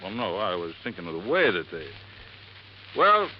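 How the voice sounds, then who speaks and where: An actor playing a plain-spoken, folksy helper in a golden-age radio drama, informal and easygoing. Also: male voice, low voice, sing-song, moderate pace. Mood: plain, easy. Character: folksy, plain-spoken man assisting an investigator. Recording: dull, noisy old radio recording.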